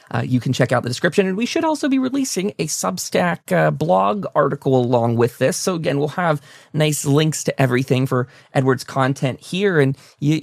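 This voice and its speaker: A man's voice: mid-range voice, vocal fry, dry recording, studio quality. A man hosting a casual interview show, speaking effusively and often stammering over repeated words. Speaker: talk show host.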